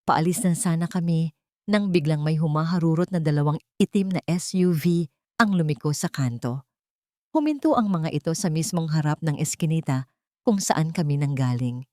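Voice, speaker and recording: A woman's voice: sits low, normal speed, a little mellow, no room sound, clean studio sound. A woman reading a scripted, dramatic first-person audio story as its narrator.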